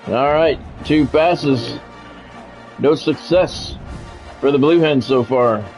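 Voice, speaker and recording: A man's voice: mid-range voice, slow pace, darkish tone, low-quality recording. A man livestreaming as a sports commentator, switching between clipped announcer-style play calls and casual chat with viewers over music. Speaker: game streamer calling play-by-play.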